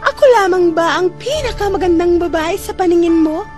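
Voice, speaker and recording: Casting: vain, jealous royal beauty, very shrill register, theatrical radio-play delivery, music underneath. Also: female voice, fairly quick. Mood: coy, cloying. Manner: haughty, barking orders at servants. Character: vain, imperious, jealous young sultana obsessed with beauty. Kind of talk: melodramatic radio-drama acting. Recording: music behind.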